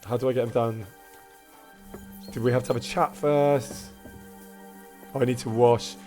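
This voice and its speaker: A man streaming a game with casual, self-deprecating commentary, in a husky voice, chatting with his viewers. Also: medium pitch, fairly even intonation, normal speed, darkish tone, soft background music.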